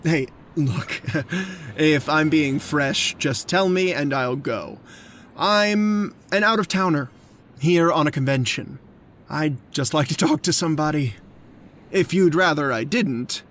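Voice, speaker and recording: A man's voice: a little high, slow, fairly bright tone, a little hiss or hum. An actor playing an uneasy, hesitant man who hunts his victim, in old-time radio drama style. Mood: polite, awkward.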